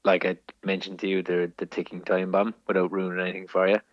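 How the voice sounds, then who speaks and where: A man's voice: low voice, monotone, fast talker, no room sound, lo-fi sound. An Irish man in casual film-review chat as a podcast co-host, opinionated and sweary.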